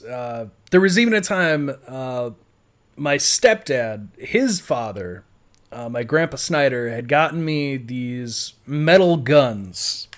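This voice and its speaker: An American man telling his life story casually as a podcast host, rambling and swearing freely. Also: medium pitch. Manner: rambling, foul-mouthed.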